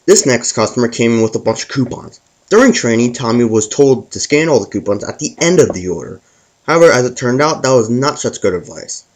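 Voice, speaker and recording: A man hosting a scripted comic story, narrating it with stumbles and repeated words as he reads. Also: medium pitch. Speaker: host and storyteller.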